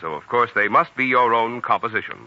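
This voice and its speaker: A man's voice: mid-range voice, a little fast. A man giving scripted announcements in 1940s radio style, formal and emphatic, with careful phrasing. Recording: dull old radio recording. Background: music.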